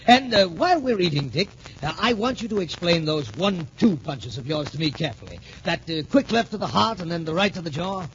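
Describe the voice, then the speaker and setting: An actor playing a middle-aged American major who heads a military academy, formal and emphatic, in 1940s radio drama style. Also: male voice, fairly high pitch, lively intonation, a little fast, few pauses. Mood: pleased, admiring. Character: stern but appreciative major heading a boys' military academy. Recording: muffled, noisy 1940s radio recording.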